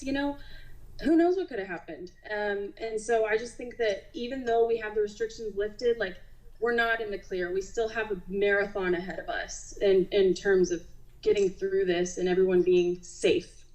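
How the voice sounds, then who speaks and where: A woman's voice: medium pitch, rough recording. A young adult woman, a nurse being interviewed, talks in a casual, reflective and conversational way. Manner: conversational, frequent use of "like".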